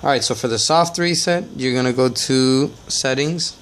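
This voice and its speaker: A man's voice: mid-range voice, moderate pace, a bit bright. A man hosting a casual tech tutorial, talking plainly step by step with frequent "uh" and "all right" fillers. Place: indoors at a table, off camera.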